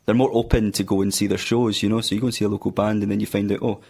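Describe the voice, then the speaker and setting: A man's voice: on the low side, rapid-fire, vocal fry. A man from Fife with a Scottish accent, a guitarist guest talking casually about his band in a radio studio. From Fife, Scotland. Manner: relaxed, says aye, wee.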